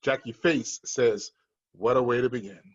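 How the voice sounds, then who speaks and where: A man's voice: medium pitch, steady tone, normal speed, dull audio. A man reading screenplay stage directions as scripted narration at a table read.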